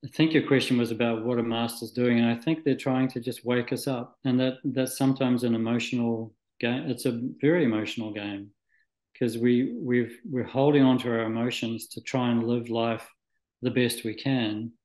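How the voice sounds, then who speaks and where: Male interview guest, from Scotland and New Zealand, medium pitch, thoughtful and halting, recorded in an echoey room. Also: fairly even intonation, a little mellow. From Scotland, moved to New Zealand at nine. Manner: halting, thoughtful, searching for words. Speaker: interview guest, spiritual practitioner. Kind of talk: reflective, conversational interview answers.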